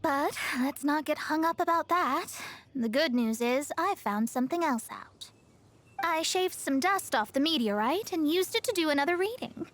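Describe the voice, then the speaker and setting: A woman's voice: high-pitched, lively intonation, moderate pace, fairly bright tone. A woman voicing a proud, self-assured young female astrologist in a video game, articulate and a little haughty. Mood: confident. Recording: faint music behind the voice.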